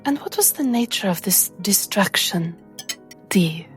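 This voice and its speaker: A woman's voice: mid-range voice, lively intonation, a little slow, fairly bright tone, faint music behind. A woman voicing an imperious lady in a tabletop game, questioning in a formal, pointed, menacingly polite manner. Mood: coolly pressing.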